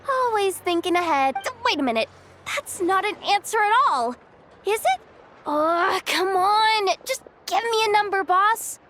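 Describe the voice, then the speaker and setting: A woman's voice: very high voice, lively intonation, a little slow, fairly bright tone, a little hiss or hum, heavily acted. A woman playing a perky, inquisitive young female subordinate in a video game, chatty and pushy with questions. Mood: wheedling. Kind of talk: video game character acting.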